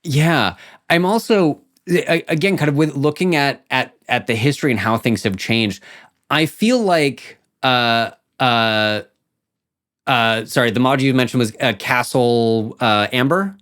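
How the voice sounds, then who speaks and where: A man hosting a casual interview, who feels his way toward each point in a halting, circling manner. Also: medium pitch, a bit bright. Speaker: podcast host, interviewer.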